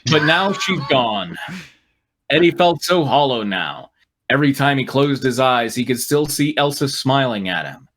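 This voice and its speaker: A man's voice: mid-range voice, expressive, unhurried, fairly bright tone. A man reading a story aloud dramatically, breaking off for dry, mocking asides. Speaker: reader on a fan fiction comedy show.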